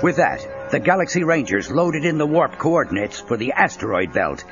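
A man's voice: fairly high pitch, very animated, normal speed. A man narrating a children's adventure story in a scripted, dramatic style. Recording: dull cassette with music underneath. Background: background music.

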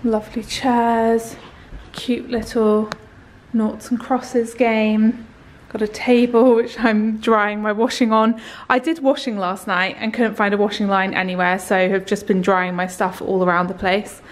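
Chatty woman vlogging a tour. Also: medium pitch. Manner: chatty, upbeat, rambling with fillers. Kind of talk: casual vlog house tour. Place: rented house, indoors. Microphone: handheld camera at arm's length.

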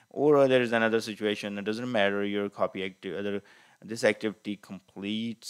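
Guy with a lowish voice doing a screen share tutorial, kinda halting and hesitant, fixes his words as he goes. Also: smooth. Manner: halting, hesitant explanation with self-corrections. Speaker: tutorial presenter. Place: voice-over on a screen recording.

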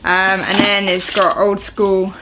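A young woman talks casually to a camera from a small room at home, her voice sitting at an everyday middle pitch. She rambles through an unboxing with plenty of ums and uhs, and a faint hiss sits under the recording. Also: flat delivery, slow pace. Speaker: video host. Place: small indoor room at home, seated on a sofa.

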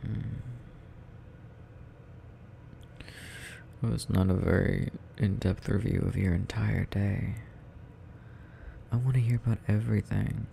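A man's voice: fairly low pitch, fairly even intonation, normal speed, gravelly, warm tone, a little hiss or hum. A young man playing a sleepy, needy, affectionate boyfriend in an intimate ASMR roleplay, murmuring drowsily and tenderly close to the microphone. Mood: needy, drowsy.

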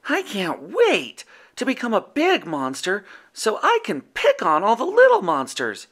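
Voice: mid-range voice, wildly swooping pitch, unhurried, a bit bright, voice pushed up, exaggerated voice. A storyteller voicing Furball, a small and timid young male Yeti, speaking in a small, worried way in a children's storybook. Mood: boastful. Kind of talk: storybook reading.

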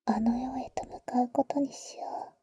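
A woman acts out a protective big-sister vampire for an ASMR audio drama. Her high voice is breathy and often drops to a whisper, with slow, halting, tsundere phrasing that is blunt yet tender. She is very close to the microphone, with a completely dry sound. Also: fairly even intonation, mellow, no room sound. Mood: resigned, devoted. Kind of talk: Japanese ASMR roleplay, intimate whispering.

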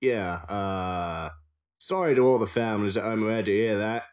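A man's voice: on the low side, normal speed, clean tone, natural voice. A man voicing a pony who hosts a TV game show, with a hesitant delivery. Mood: awkward, stunned. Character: stammering male pony TV game show host.

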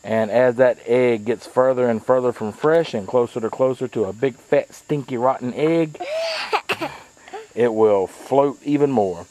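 A man's voice: medium pitch, measured pace, warm tone, dry recording. Middle-aged guy, folksy and chatty, casual backyard how-to, dry outdoor camera-mic sound. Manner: folksy, chatty, with frequent uh fillers. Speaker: small farm owner demonstrating.

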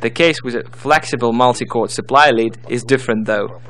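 A man's voice: mid-range voice, fairly quick, fairly bright tone. A man giving scripted, step-by-step instructional narration as the narrator of a tutorial.

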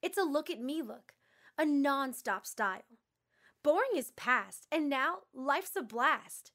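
A woman's voice: high-pitched, animated, slow pace, a bit bright, heavily acted. A woman playing a confident announcer in an upbeat cosmetics commercial read.

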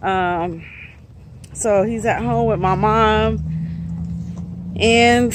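A woman in her thirties, a truck driver, chatting casually to a phone held at arm's length outdoors, relaxed with lots of fillers. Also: medium pitch, very slow. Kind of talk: casual vlog to phone camera. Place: outdoors in a park and a truck yard. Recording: phone audio with outdoor noise.

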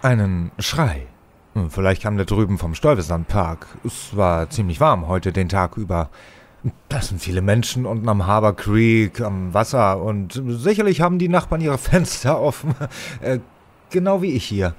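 An actor playing a nervous, evasive young radio playwright who stammers and hesitates, in a German audio drama. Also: male voice, fairly low pitch, lively intonation, normal speed, close to an everyday voice. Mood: feigned innocence. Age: young adult.

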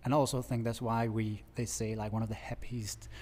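A man in his thirties from Denmark with a Danish accent, chatting as a guest artist in a relaxed, halting way. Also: lowish voice, big-room sound. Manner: relaxed, halting, laughs easily. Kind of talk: casual interview chat. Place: large bright studio, indoors. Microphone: stand mic with headphones.

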